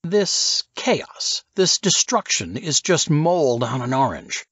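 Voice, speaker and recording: Audiobook role: grandiose male plotter, played by a male reader, rhetorical and insistent, highly animated intonation. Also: takes it slowly, breathy edge, dry recording, muffled recording, exaggerated voice. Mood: grandiose, scheming. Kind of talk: audiobook character dialogue.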